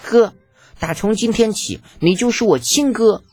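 A woman voicing an excitable, greedy young crown prince in an audiobook, with a dramatic, exclamatory manner. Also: mid-range voice, a little slow, breathy edge, soft background music. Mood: delighted, grateful. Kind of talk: audiobook character acting.

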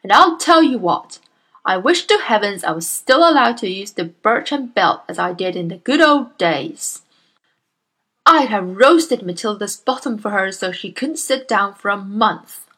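A woman voicing a huge, bullying female headmistress who barks and shouts with scorn. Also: medium pitch, lively intonation, measured pace, slightly rough, full character voice. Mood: bellowing scorn.

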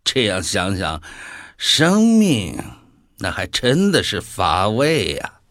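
A male actor as a jaded devil from a radio play who scorns life. He draws out his words slowly and theatrically, with wide swings of pitch, in a dry, close recording. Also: huge pitch swings, a bit bright, no room sound, full character voice. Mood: jaded, gloomy. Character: mocking, bored male devil who favours death. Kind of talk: audio drama acting.

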